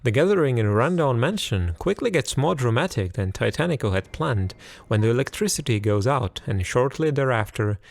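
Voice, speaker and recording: A man's voice: mid-range voice, animated, brisk, gravelly, hardly any pauses. A man narrating a scripted game review as its host.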